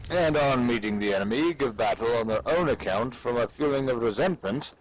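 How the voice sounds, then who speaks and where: A man performs a dramatic reading in a measured, declamatory manner. His voice sits at a middling pitch, and the phrasing is formal and deliberate. A faint layer of ambient noise runs behind him. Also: poor audio. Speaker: amateur reader. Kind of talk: dramatic reading of an old military treatise.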